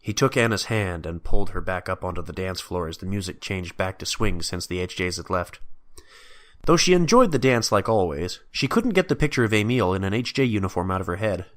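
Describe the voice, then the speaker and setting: A man's voice: deep voice, fairly bright tone. A man reading scripted story narration.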